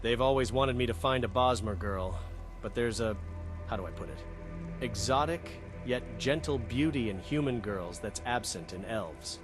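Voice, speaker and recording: A man playing an earnest wood elf companion in a fantasy game, speaking in a measured, reflective way. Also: mid-range voice, lightly acted. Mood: earnest, wistful. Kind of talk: scripted game companion dialogue. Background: game music behind the voice.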